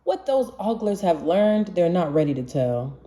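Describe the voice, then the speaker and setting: A woman reading a rhyming children's storybook aloud in an expressive, measured manner. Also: fairly low pitch. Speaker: children's book reader.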